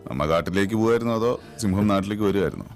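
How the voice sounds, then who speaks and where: Fast-talking, low-voiced mocking lawman. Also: animated. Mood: taunting. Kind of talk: film comedy dialogue. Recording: loud music behind a film clip.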